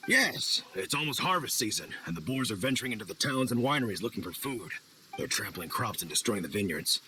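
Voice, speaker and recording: Middle-aged hunter dad, bright forceful voice, animated intonation, caring and thoughtful, video game acting, faint ambience. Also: male voice, on the low side, a little fast, slight background noise, exaggerated voice, poor audio. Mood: upbeat. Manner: thoughtful, conversational, encouraging. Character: friendly middle-aged male hunter, caring fatherly mentor.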